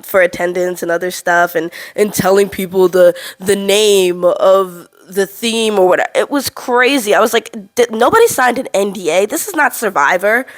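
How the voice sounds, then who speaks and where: Young woman, stuffy nose from a cold, casual vlog, frank and animated, mid-pitched voice. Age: 20s. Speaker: vlogger. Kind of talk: casual storytelling to camera.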